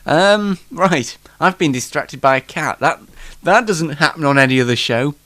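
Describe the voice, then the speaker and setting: A British man hosts his own homemade talk show alone at the microphone, with a slightly blocked nose. His pitch jumps around in an animated way as he rambles without a script, poking fun at himself and sounding mock-exasperated. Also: normal speed. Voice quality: congested, bunged-up nose. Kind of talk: casual, unrehearsed solo show chat. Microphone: microphone, speaking alone.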